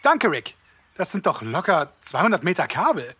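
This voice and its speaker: Man playing an animated, excitable podcaster sidekick. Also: fairly high pitch, moderate pace, slightly rough, full character voice. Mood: wry, amazed. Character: Robert, excitable grumbling young male podcaster and sidekick. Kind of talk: German audio drama acting as casual vlog.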